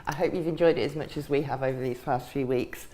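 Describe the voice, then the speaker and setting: A woman hosting and opening an online chat show, relaxed and a little rambling as she talks. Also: extremely low pitch, quick pace, rough recording. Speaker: host, show founder. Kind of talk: casual live-stream chat.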